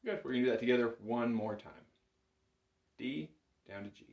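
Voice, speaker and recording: A man's voice: mid-range voice, a little fast, darkish tone, slight background noise. A man giving casual, reassuring step-by-step instruction as a music teacher, recorded indoors in a small room. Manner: reassuring, informal, explains step by step. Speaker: bagpipe instructor. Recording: roomy, echoing small room.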